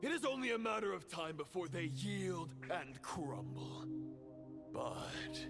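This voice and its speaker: An actor playing a grave, coldly convinced fallen knight phantom in a video game, speaking in measured, formal phrases. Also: male voice, high voice, very animated, vocal fry, music in the background, full character voice. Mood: cold, menacing. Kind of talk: dramatic video game acting.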